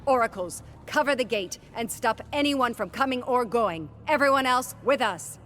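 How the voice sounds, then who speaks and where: A serious fantasy game officer, highish voice, formal military delivery, music behind. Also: female voice, animated, moderate pace, fairly bright tone. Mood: dutiful, firm. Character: female military officer, dutiful, urgent and grave. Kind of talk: dramatic fantasy game cutscene acting.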